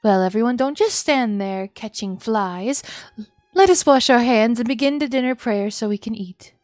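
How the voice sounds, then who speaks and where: A woman's voice: on the high side, moderate pace, a little mellow, no echo, clean studio sound, lightly acted. A woman voicing a cheerful, bossy and unhinged mother with an overly chirpy, sing-song delivery in a visual novel.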